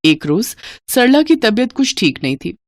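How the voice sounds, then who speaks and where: A woman's voice: fairly high pitch, sing-song, normal speed, no echo. A woman narrating a scripted moral story for children as the storyteller.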